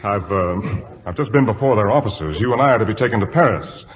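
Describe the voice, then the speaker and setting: Actor as resolute naval captain, old radio sound. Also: male voice, on the low side, expressive, lightly acted. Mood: grave, composed. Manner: authoritative, measured, formal. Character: resolute, composed British naval captain, a commanding man. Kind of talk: old-time radio drama acting. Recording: dull lo-fi old radio recording.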